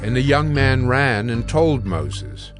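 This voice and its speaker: A man with a middling pitch reads scripture as narrator. His delivery is measured and solemn, with music clearly behind him. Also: big pitch swings. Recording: music bed under the voice.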